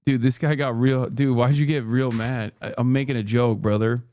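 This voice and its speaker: A man streaming live and reacting to a debate clip, joking and laughing often, dropping to low mutters and jumping to loud high outbursts. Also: steady tone, moderate pace. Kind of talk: casual reaction commentary.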